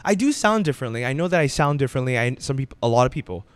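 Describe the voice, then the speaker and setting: A man working as a radio DJ, chatting as a podcast guest in an animated, expressive way. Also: mid-range voice, racing, clear, resonant tone, no echo. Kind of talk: casual podcast interview.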